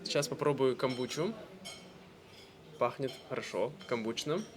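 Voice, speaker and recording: A man from Russia vlogging casually to camera, speaking simply and clearly for language learners with easy fillers. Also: mid-range voice, normal speed, crowd noise. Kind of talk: casual vlog talk to camera.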